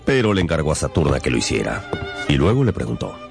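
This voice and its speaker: A man narrating a literary radio drama as a storyteller, with dramatic pauses and emphasis. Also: a bass voice, very animated, creaky. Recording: music behind the voice.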